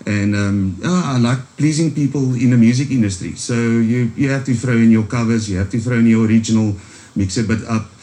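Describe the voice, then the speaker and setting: A man's voice: medium pitch, a little fast, mellow. A South African man with a strong Afrikaans accent, a musician guest in a radio studio, talking casually with many ums and restarts.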